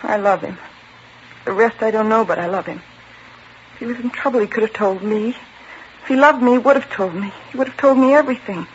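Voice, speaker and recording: A woman's voice: on the high side, a little fast, pauses often, slight background noise, close to an everyday voice. An actress playing a heartbroken young woman on old radio. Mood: pleading. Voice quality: emotional, pleading. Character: distraught young woman in love with a suspect. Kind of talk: 1950s radio drama acting. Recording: muffled old radio broadcast.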